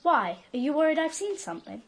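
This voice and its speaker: Precocious, bossy young girl, high-voiced. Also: very animated, fast. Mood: smug, taunting. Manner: blunt, smug, taunting. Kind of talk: radio drama acting.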